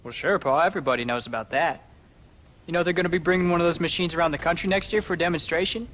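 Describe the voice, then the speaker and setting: A man's voice: fairly high pitch, racing, a bit bright, slight background noise, natural voice. An actor playing an earnest, eager teenage farm boy. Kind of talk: video game voice acting, Western drama.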